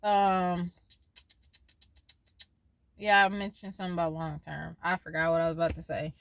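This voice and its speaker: A woman's voice: on the low side, level tone, moderate pace, vocal fry, dry recording. A woman in her twenties talking casually in a personal vlog, rambling and losing her train of thought as she shares her worries and her faith. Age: 20s. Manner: worried, faith-filled musing. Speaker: vlogger.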